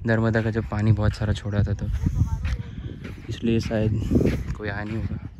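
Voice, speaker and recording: A man's voice: on the low side, monotone, moderate pace, background noise. A young man in his twenties chatting casually to his phone at arm's length outdoors, laughing often and mixing in English words. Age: 20s. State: sweaty, tired from walking. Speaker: vlogger. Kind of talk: casual travel vlog narration. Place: outdoors on riverside sand and in a car. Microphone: phone held at arm's length.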